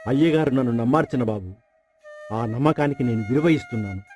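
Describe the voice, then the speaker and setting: A man playing a humble loyal servant, soft-toned. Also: medium pitch, fast, soft background music, close to an everyday voice. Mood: humble, firm. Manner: respectful, earnest. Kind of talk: dramatized folk tale acting.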